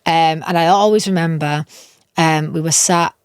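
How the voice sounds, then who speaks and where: Young woman, low voice, chatty storytelling, dry studio sound. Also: steady tone, moderate pace, clear, resonant tone, no echo. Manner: chatty storyteller with frequent restarts. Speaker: musician guest. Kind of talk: casual interview chat.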